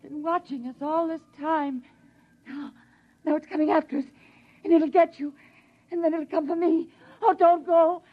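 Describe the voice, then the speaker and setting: Fearful mother woman, very high, pleading, vintage radio lo-fi. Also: steady tone, moderate pace, lightly acted. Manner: fearful, pleading, near tears. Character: frightened, fragile mother. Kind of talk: 1950s radio drama acting. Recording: muffled 1950s radio broadcast.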